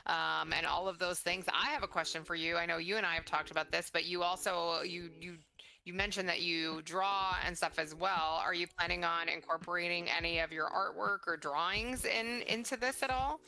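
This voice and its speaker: A woman's voice: fairly low pitch, fairly even intonation, moderate pace, creaky, pushed and bright, rough recording. A middle-aged woman interviewing in a casual, chatty way, with an encouraging, supportive manner. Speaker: interviewer, mother of the guest.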